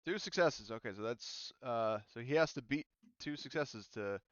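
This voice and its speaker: A man with a smooth, clear voice acts as game master for a tabletop game. He narrates in a casual, hesitant way, working things out aloud. The recording is very dry and somewhat dull. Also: mid-range voice, lively intonation, normal speed, no room sound.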